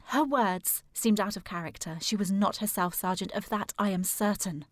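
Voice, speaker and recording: A woman playing a determined, formal young gentlewoman worried about her sister in a period audio drama. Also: medium pitch, moderate pace, dry recording, natural voice. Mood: troubled, insistent. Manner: formal, precise, insistent.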